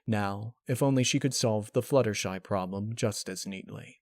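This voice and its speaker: A man reading a scripted piece of fiction as narrator, in a measured, serious, dramatic storytelling manner. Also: on the low side, darkish tone, no room sound, studio quality.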